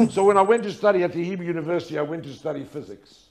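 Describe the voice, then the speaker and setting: A man's voice: high-pitched, fast, a little mellow. An older man telling stories as a lecture, in a dramatic, emphatic manner with rhetorical questions and repetition. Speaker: speaker telling a personal story. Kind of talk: storytelling lecture to an audience.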